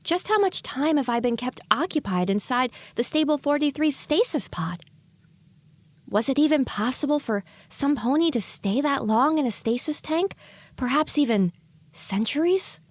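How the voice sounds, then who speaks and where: Casting: actress as a young pony survivor, fairly high voice, dry close sound, wry questioning inner monologue. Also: female voice, expressive, moderate pace, dry recording. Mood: pensive, uneasy. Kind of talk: audio drama acting.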